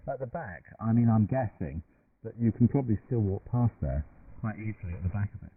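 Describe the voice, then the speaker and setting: A man's voice: on the low side, expressive, fairly quick. A man chatting casually as a panelist in a radio discussion. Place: radio studio. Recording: distant, noisy, tinny shortwave radio reception.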